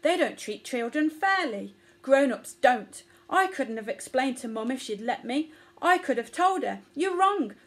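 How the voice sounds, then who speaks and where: A woman voicing a gentle, earnest young male elephant in a children's storybook. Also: high-pitched.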